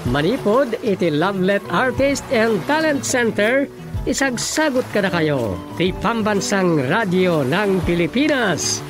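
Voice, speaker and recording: Ilocano announcer, mid pitch, very animated intonation, scripted radio drama credits, music behind. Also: a little slow.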